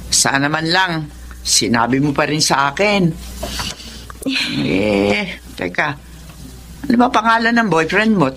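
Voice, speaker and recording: A middle-aged Filipina mother in a radio drama, her voice swinging widely in pitch. She is doting, easily shocked and expressive, with a Filipino accent and faint noise behind her. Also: a little slow, vocal fry, long pauses, heavily acted. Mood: shocked, scolding.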